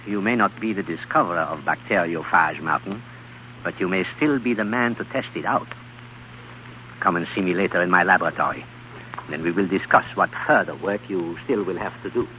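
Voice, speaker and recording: Old male mentor scientist man acting, lowish, solemn and deliberate, radio drama on a muffled, slightly noisy broadcast. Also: lightly acted. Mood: measured. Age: older.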